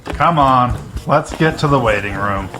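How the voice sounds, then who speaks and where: A man's voice: mid-range voice, lively intonation, measured pace, music in the background, lightly acted, poor audio. An actor playing a plain-spoken, protective father in an audio drama. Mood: firm. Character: easygoing but fiercely protective father.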